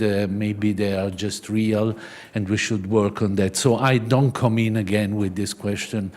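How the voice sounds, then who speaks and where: A man with an Italian accent presents at a seminar in careful, halting English. He talks at an unhurried pace in a somewhat low voice. He keeps checking in with the room by tacking "no?" onto his points. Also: a little mellow. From Switzerland. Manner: halting, searching for words, frequent tag "no?". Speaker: conference speaker.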